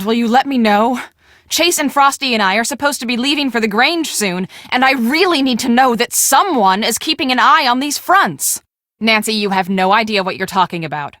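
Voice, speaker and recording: An actress playing a brisk, bossy young woman on a storm-chasing team in a video game, speaking emphatically and expressively. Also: on the high side, a bit bright, no echo, clean studio sound. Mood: stressed, urgent, then curt, irritated. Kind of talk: scripted video game dialogue.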